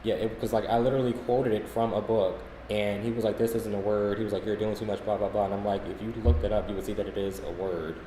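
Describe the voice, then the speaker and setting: A man's voice: lowish voice, steady tone, quick pace, hardly any pauses, dark tone. A man co-hosting a podcast, telling casual anecdotes in a conversational way. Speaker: podcast co-host. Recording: echoey room, faint background noise.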